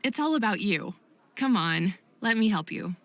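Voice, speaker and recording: Woman acting supportive female friend, mid-pitched, very lively intonation, reassuring, gently chiding, game dialogue. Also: moderate pace, no room sound, close to an everyday voice. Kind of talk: visual novel game voice acting.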